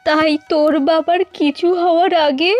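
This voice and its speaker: A woman's voice: very high-pitched, steady tone, normal speed, dry recording, muffled recording, soft background music. A woman voicing a caring, admonishing middle-aged village mother in a cartoon. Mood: gently admonishing. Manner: earnest, admonishing. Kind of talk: Bengali cartoon fairy-tale acting.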